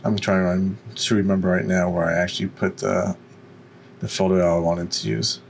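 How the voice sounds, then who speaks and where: Deep-voiced man giving a tutorial. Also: level tone, normal speed, gravelly, a little hiss or hum. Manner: instructional, step by step. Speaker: tutorial presenter. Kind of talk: software tutorial walkthrough, unscripted.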